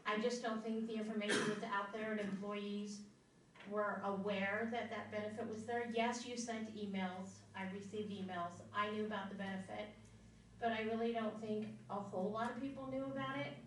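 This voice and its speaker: Teacher at public comment, woman, deliberate and loud, distant with strong echo. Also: mid-range voice, a little hiss or hum. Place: large meeting room. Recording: echoey meeting room from far off.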